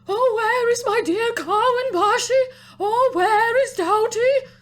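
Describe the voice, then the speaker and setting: A woman voicing an anxious, doting and sentimental camel in a storybook, with a drawn-out, fussy, emotional delivery. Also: very high voice, pitched above the performer's own voice. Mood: distressed.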